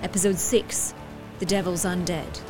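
A woman's voice: lowish voice, fairly even intonation, measured pace, music in the background, rough recording. A woman narrating as a scripted announcer for an audio drama recap.

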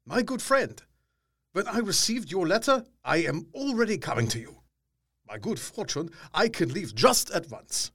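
Lively old professor, kindly and learned, bouncy pitch, dry close sound. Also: male voice, moderate pace, no echo, exaggerated voice. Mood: formal. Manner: emphatic, swinging between loud and soft. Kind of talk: radio drama acting.

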